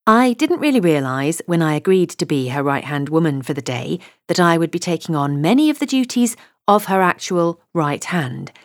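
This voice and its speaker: A woman's voice: low-pitched, big pitch swings, moderate pace, dry recording, studio quality. A woman reading a comic novel aloud in first person, wry and chatty. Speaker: audiobook narrator.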